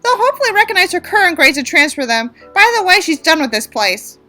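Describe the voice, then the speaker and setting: A woman voicing a weary, bossy middle-aged mother with drawn-out, overwrought delivery in a story reading. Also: very high voice, very animated, sharp tone, faint music behind, pitched above the performer's own voice, heavily acted. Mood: dramatic, grave. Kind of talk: mocking dramatic reading of a story.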